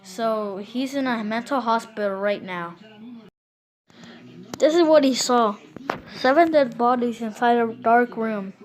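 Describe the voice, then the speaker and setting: A woman improvising a scary story in a casual, halting and repetitive way. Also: a little high, poor audio.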